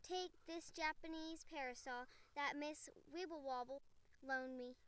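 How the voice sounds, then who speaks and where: Uncle Wiggily, a woman doing a soft high old rabbit gent. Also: unhurried. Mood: polite, gentle. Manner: gentle, polite, soft-spoken. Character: kindly elderly male rabbit gentleman.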